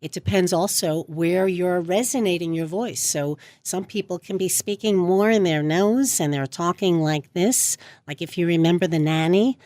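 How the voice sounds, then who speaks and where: Low-voiced woman speech coach on radio. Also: moderate pace, few pauses, dark tone. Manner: demonstrates voice habits with her own voice. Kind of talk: radio interview, explaining. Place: radio studio.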